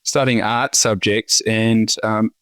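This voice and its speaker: A New Zealand man in his forties, a winemaker talking casually in an interview, halting with many fillers and restarts. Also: on the low side, level tone, fairly bright tone, clean tone, dry recording. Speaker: winemaker guest.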